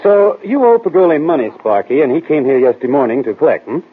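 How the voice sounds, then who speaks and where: An actor playing a brisk, wry, urbane amateur detective in 1940s radio drama style, clipped and inquisitive. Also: male voice, fairly high pitch, sing-song, breathy edge. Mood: probing, wry. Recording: noisy, tinny old radio broadcast.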